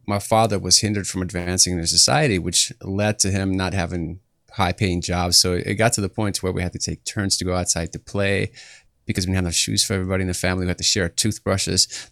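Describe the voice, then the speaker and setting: Deep, gravelly man from Ukraine. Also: a bit bright, dry recording, studio quality. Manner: earnest, repeats words while searching for them. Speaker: interview guest. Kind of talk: personal testimony in an interview.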